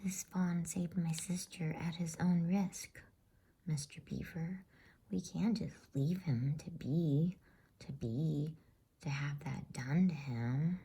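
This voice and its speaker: A woman voicing an earnest, indignant schoolboy older brother with halting urgency, in a bedtime story reading. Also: low-pitched, steady tone, mellow, breathy edge, exaggerated voice. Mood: urgent, pleading.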